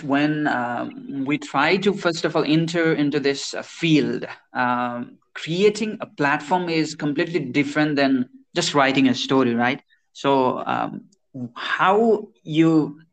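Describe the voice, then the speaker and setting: A man's voice: on the high side, a bit bright. A man who interviews a guest, giving encouraging backchannels and asking rambling questions full of fillers.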